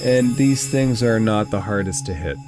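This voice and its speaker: A man's voice: lowish voice, normal speed, music in the background. A man acting as game master, narrating and explaining rules in a conversational way during a tabletop role-playing game.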